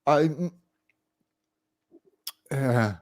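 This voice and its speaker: Very slow, halting man. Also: mid-range voice, a little mellow, no echo. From Sweden. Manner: halting, short broken phrases. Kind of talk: live personal talk to camera.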